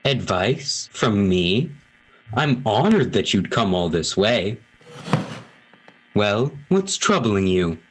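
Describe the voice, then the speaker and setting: A man playing a kindly, hearty old small-town priest with a husky voice in an amateur radio play. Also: fairly low pitch, big pitch swings, slow, pauses often, a little hiss or hum, poor audio. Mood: kindly, jovial.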